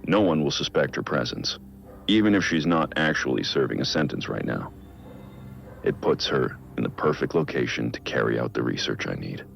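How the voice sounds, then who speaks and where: An actor playing a brooding billionaire vigilante, recording a measured, reflective personal audio log in a game. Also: male voice, animated, a bit bright, faint music behind, exaggerated voice. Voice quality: low gravelly voice. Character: brooding billionaire vigilante, man in his prime.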